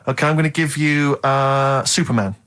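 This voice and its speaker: A male radio co-host with an average-pitched voice chats casually and comically. He teases his partner and puts on mock indignation as he goes. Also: steady tone, normal speed, a bit bright.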